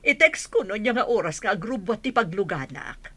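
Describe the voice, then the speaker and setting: A woman's voice: medium pitch, animated, moderate pace, sharp tone. A kindly old grandmother in an Ilocano radio drama. Her mid-range voice rises and falls widely, with an Ilocano accent. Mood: gentle. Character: kindly elderly grandmother from the village.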